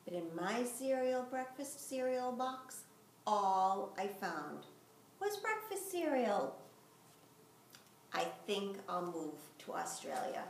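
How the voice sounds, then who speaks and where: A woman's voice: medium pitch, big pitch swings, slow pace. A woman reading a children's picture book aloud expressively, leaning dramatically on key words. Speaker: storybook reader. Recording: roomy, distant mic, faint background noise.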